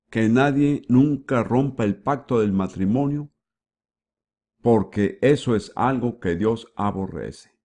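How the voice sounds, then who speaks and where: A man giving the voice-over for a sermon, with a measured preaching delivery and frequent rhetorical questions. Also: mid-range voice, dark tone. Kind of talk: dubbed sermon.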